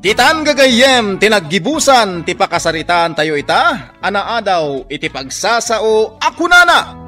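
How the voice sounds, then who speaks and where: Male announcer, Ilocano Filipino accent, high bright voice, slow declamatory delivery, scripted radio introduction. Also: sing-song, faint music behind. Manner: emphatic, declamatory. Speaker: programme announcer.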